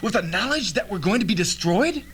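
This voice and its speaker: An obsessed, fatalistic scientist, acted by a man with theatrical intensity and a lively, fairly high voice. It sounds like old radio drama on tape, with faint hum. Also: brisk. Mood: insistent. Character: defiant, fatalistic male scientist, obsessed and insistent.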